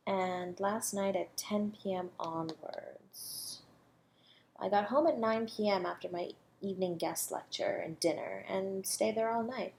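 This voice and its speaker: A woman playing a self-assured academic under suspicion of murder in a flirty audio roleplay, teasing and composed, with deliberate, condescending delivery. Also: mid-range voice, darkish tone, slight background noise, lightly acted. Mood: composed, coy. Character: coy, self-assured female academic, a murder suspect toying with detective. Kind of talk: flirty audio roleplay, one-sided dialogue.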